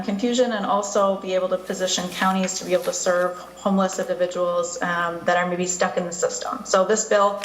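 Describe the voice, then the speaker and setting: A woman who manages county adult services, giving measured, formal testimony on a bill. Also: mid-range voice, reverberant, poor audio.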